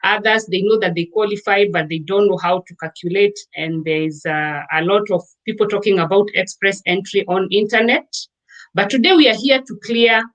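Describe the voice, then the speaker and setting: A woman from Kenya, a guest in a casual interview, explaining at length with frequent pauses and fillers. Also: mid-range voice, lo-fi sound. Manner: explanatory, with many fillers and restarts.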